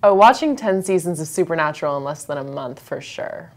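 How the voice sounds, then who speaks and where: Deadpan teenage girl hosting school news. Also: low voice, brisk. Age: teens. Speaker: student news show host. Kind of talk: scripted student news hosting.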